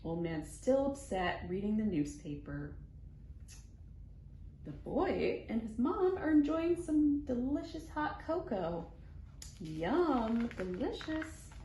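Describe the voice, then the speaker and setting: A woman's voice: a little high, big pitch swings, takes it slowly, mellow. A woman hosting children's storytime, reading a picture book expressively in simple phrases aimed at small children. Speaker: children's library storytime host. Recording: echoey room, background noise.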